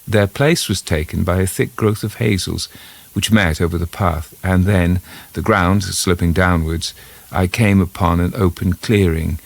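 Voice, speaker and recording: Very deep, gravelly male storyteller. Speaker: narrator of a ghost story. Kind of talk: scripted first-person literary narration.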